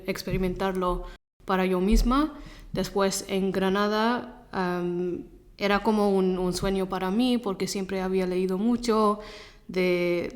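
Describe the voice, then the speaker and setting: Woman from England speaking haltingly. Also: medium pitch. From Leicester, England. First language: Gujarati. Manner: halting, self-correcting second-language speech. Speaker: interview guest, Spanish teacher. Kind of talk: conversational interview answers.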